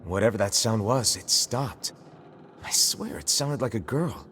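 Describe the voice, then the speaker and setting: A man's voice: lowish voice, expressive, measured pace, fairly bright tone, a little hiss or hum. A man playing a plain-spoken young adventurer in a video game, with a casual, blunt and earnest way of talking. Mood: matter-of-fact. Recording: music behind the voice. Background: game music.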